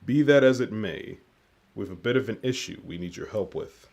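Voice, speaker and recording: An actor playing a cold, controlling leader of a covert team, formal and measured, in an audio drama. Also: male voice, fairly low pitch, expressive, darkish tone. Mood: cold, controlled.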